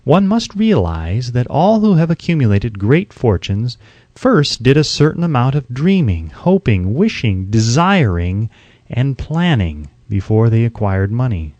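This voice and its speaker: Male audiobook reader, mid-range pitch with lively intonation, emphatic instructional delivery, close dry sound. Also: unhurried, no room sound, muffled recording. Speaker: audiobook narrator. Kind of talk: scripted audiobook narration.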